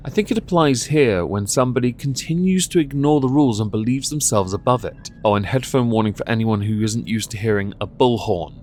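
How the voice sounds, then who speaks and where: A man's voice: medium pitch, a little mellow, a little hiss or hum. A man hosting a commentary on viral clips, talking in a sardonic, scolding way. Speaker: commentary show host.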